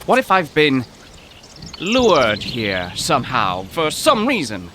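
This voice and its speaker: Man acting an anxious professor, animated, amid noisy ambience. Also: medium pitch, takes it slowly. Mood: uneasy, brooding. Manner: earnest, formal wording. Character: gloomy, anxious poetry professor, bookish and suspicious man. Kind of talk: audio drama acting.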